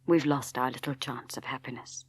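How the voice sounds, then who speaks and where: A woman voicing a tormented middle-aged Englishman in an audiobook, speaking in a hushed, grave, halting and intense way with an English accent. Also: very deep voice, steady tone, slightly rough, dry recording, voice pushed down. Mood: bleak despair. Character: grim, tormented middle-aged Englishman confessing to his wife. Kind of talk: dramatic audiobook character reading.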